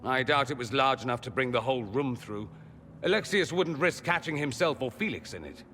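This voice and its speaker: A man's voice: medium pitch, lively intonation, fairly quick, pushed and bright, big-room sound, a little hiss or hum. An actor playing a witty, flamboyant male mage in a video game, with a wry, theatrical and articulate delivery. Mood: thoughtful, wry. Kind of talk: video game character acting.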